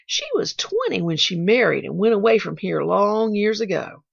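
A woman reading the part of a gentle, sentimental old male gardener with a rustic country dialect, on a dull lo-fi recording. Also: medium pitch, lively intonation, creaky, husky, no room sound, full character voice. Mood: wondering. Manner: rustic dialect, slow and wondering.

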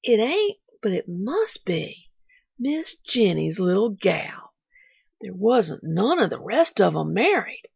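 A woman reading the part of a gentle, sentimental old male gardener with a rustic country dialect, on a dull lo-fi recording. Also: mid-range voice, very animated, vocal fry, slightly rough, dry recording, heavily acted. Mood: wondering. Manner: rustic dialect, slow and wondering.

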